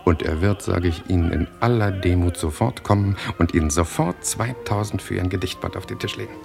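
An actor in a German radio play voicing a worldly, cynical journalist who talks in a glib, mocking, persuasive way. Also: male voice, low voice, a little fast, gravelly, darkish tone. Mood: persuasive, eager. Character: worldly, cynical Parisian theatre journalist, brash schemer. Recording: studio radio play with music behind.

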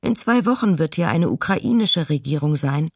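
A woman's voice: low voice, lively intonation, normal speed, creaky, no echo, exaggerated voice. Sober woman diarist, dry sound. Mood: sober, observant. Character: sober city diarist observing occupying soldiers. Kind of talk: radio docudrama reading of testimony.

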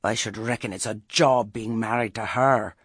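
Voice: medium pitch, fairly even intonation, normal speed, breathy edge, dry recording, studio quality, lightly acted. A narrator voicing a stern, imperious old country witch woman in a terse, sardonic, grudging way. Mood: sour.

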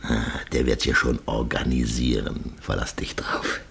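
Gruff sardonic father, extremely low voice, blustering, sharp-tongued, radio play, faintly noisy old recording. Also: fairly even intonation, measured pace, heavily acted. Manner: blustering, sardonic, colourfully abusive. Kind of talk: 1960s German radio play acting.

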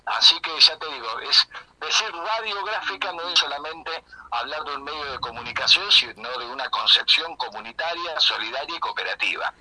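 A man's voice: on the high side, expressive, moderate pace, crowd noise, low-quality recording. An older man with a Buenos Aires accent talking discursively and off the cuff as a guest in a radio interview. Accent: Buenos Aires Argentine. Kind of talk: unscripted conversational account.

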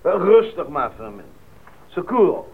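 A man playing a steady, reassuring member of a jungle expedition in a radio drama, with an animated delivery. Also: a little high, unhurried, husky, phone-quality sound, exaggerated voice. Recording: thin old radio, faint hiss.

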